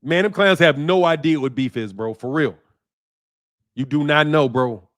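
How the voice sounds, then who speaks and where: A man with a high, lively voice holding forth on a casual boxing livestream. He talks in loose street slang and breaks now and then into loud outbursts while reading out chat messages. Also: moderate pace. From Chicago area. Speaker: boxing channel host. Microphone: streaming mic.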